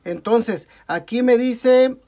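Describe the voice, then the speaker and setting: A man's voice: very high-pitched, slow pace, dark tone. Mexican man talking through a repair. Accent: Mexican Spanish. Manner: talks through each step while working, frequent "ok, amigos" and self-corrections. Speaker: tech tutorial host. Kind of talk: step-by-step repair tutorial. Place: indoor workbench at a desk.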